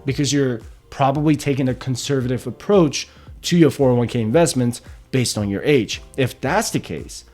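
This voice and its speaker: A man in his thirties talks through the figures in a steady explainer tone. His pitch sits right in the ordinary middle range for a man. Soft music plays faintly underneath. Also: normal speed. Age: 30s. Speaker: personal finance channel host. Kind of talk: explainer walking through retirement numbers.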